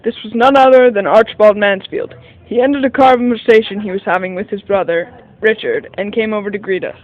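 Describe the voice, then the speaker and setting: A man's voice: sits high, brisk, dull audio, lightly acted. A man playing a detective's sidekick who narrates a 1940 radio mystery in the first person. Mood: measured recounting.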